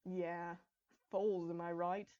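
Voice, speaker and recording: A woman's voice: fairly low pitch, level tone, takes it slowly, muffled recording. A woman playing a chatty, fond young mother who is a pony princess, in a storybook fan fiction reading.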